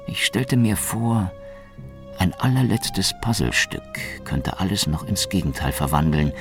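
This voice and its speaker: A hard-boiled Soviet secret policeman in a noir radio play. His voice is extremely low and full of gravel, brooding and deliberate. Music plays behind his bitter, deadpan narration. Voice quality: deep, gravelly.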